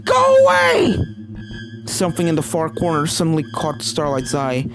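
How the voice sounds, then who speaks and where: A woman's voice: low-pitched, steady tone, fairly quick. Woman narrating over prominent music. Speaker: fan fiction reader. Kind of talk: scripted story narration.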